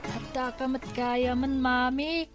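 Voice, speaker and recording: A woman's voice: high voice, steady tone, slow pace, loud music behind the voice. A woman playing a curious, eager young child, in Ilocano-accented radio drama, with a naive, inquisitive manner. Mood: curious, excited. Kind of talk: Filipino radio drama acting. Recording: noisy radio drama with background ambience.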